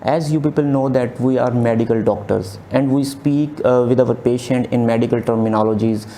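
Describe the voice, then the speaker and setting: A man's voice: mid-range voice, fairly quick, warm tone, a little hiss or hum. A man in his thirties teaching exam speaking strategies over slides, repeating key points with frequent fillers. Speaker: OET exam tutor. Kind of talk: slide lecture. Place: small indoor room, webcam inset.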